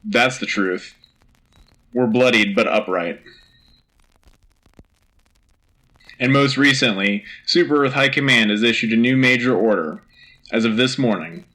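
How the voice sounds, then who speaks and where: A man's voice: medium pitch, normal speed, pushed and bright, close to an everyday voice, rough recording. A man playing an earnest war news anchor on a scripted radio drama, delivering bulletins in a clipped, solemn, dramatic way. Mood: urgent, resolute. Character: Joe Turner, earnest propaganda-era war news anchor. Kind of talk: scripted wartime news broadcast acting.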